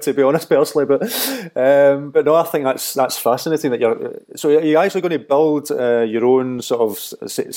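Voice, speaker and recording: Male interviewer, very quick pace, soft-edged mellow tone, casual and somewhat tentative phrasing. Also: mid-range voice. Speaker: interviewer, agency owner.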